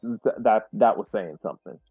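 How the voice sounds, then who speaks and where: A man hosting a casual soccer podcast discussion, speaking haltingly and repeating words as he reflects. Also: on the low side, breathy edge, faint music behind. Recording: muffled, roomy sound.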